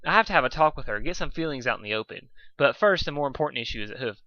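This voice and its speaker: A man's voice: medium pitch, lively intonation, racing, pushed and bright, no room sound, lo-fi sound. A man voicing a young male pony, weary and wry but polite, in a fan story reading. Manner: wry, determined.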